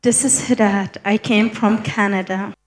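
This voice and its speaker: A woman gives a calm, measured testimony at an ordinary pitch, steady and plain throughout. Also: over a noisy crowd, very noisy. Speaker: congregant.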